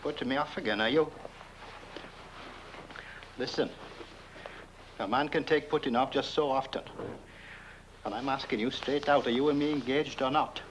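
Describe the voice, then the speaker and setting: An actor playing a chatty, self-important English village constable in a comic film, rambling and hesitant, with a British accent. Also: male voice, medium pitch, normal speed, long pauses, natural voice. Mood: wooing, insistent. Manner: rambling, pompous, hesitant with repeated phrases. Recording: dull, slightly noisy old film soundtrack.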